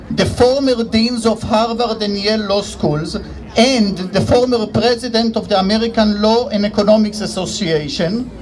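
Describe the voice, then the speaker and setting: A middle-aged man from Israel with an Israeli accent, a professor giving a measured, formal speech at an outdoor rally, with dry humor. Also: high voice, a little mellow, low-quality recording. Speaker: economics professor.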